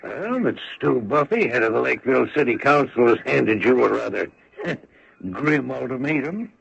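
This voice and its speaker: An actor playing a hard-nosed, insistent American small-town official in an old radio drama, emphatic and persuasive. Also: male voice, medium pitch, expressive, normal speed, creaky, fairly bright tone. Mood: pressing. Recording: dull vintage radio broadcast recording.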